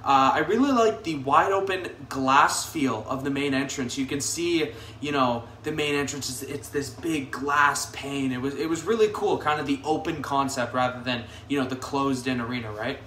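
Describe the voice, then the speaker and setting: A man's voice: medium pitch, moderate pace, few pauses, bright, forceful tone, a little hiss or hum. A man in his twenties giving a casual, upbeat arena review to camera from a hotel room, with easygoing fillers and restarts. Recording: echoey room, mic a bit distant.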